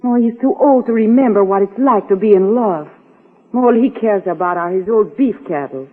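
Woman acting a breathy lovestruck radio ingenue. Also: medium pitch, moderate pace, close to an everyday voice. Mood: lovestruck, anxious. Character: lovestruck, naive, defiant young woman. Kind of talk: 1940s radio melodrama acting. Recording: muffled, narrow-band old radio recording, reverberant.

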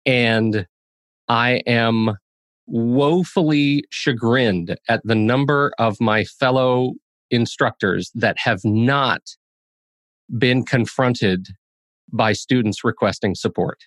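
Guy hosting a podcast, medium pitch, chatty and playfully dramatic, really dry recording. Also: slow, dry recording. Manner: expressive, playful dramatic emphasis. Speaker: podcast host. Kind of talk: casual podcast chat.

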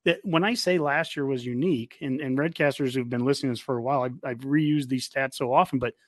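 A man's voice: mid-range voice, fast talker, no echo, clean studio sound. An American man hosting a casual college football talk show, speaking emphatically and repeating points for stress. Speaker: podcast host.

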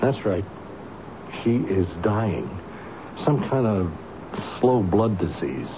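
An actor playing a kindly, earnest building employee who talks haltingly and eagerly tries to soothe, in a radio drama. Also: male voice, lowish voice, sing-song. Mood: earnest, pleading. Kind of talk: 1970s radio drama acting. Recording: dull, noisy old radio broadcast.

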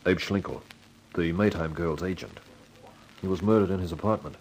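An actor playing an excitable, wisecracking employee in a 1950s radio detective drama, blustering and easily cowed. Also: male voice, sits low, moderate pace, a little hiss or hum, rough recording. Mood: eager, casual. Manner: indignant bluster sliding into stammering deference.

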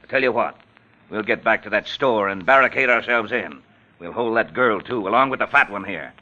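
An actor playing a ruthless, sneering outlaw leader who bullies in slangy talk in an old radio western. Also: male voice, fairly low pitch, fairly quick, bright, forceful tone, a little hiss or hum. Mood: grim, scheming. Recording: dull, band-limited old radio recording.

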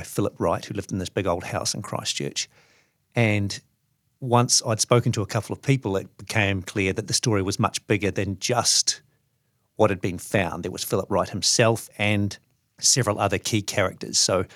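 A man's voice: lowish voice, normal speed, a bit bright, no echo, studio quality. A man with a New Zealand accent, speaking as a journalist. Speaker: journalist reading his own story.

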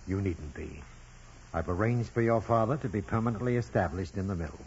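Deep-voiced, kindly old-radio priest, played by a man. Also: fairly quick, a little mellow, slight background noise, lightly acted. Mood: gentle, probing. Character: kindly, solemn male parish priest, protective and troubled. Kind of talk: 1940s radio drama acting. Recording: dull vintage radio recording, music behind.

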